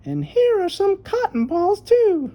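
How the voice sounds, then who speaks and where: An eager little storybook girl, acted out by a woman reading aloud. The voice is high and childlike, and it swoops up and down in an emphatic singsong, bossy with a timid edge. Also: very animated, unhurried, dark tone, full character voice. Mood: urgent.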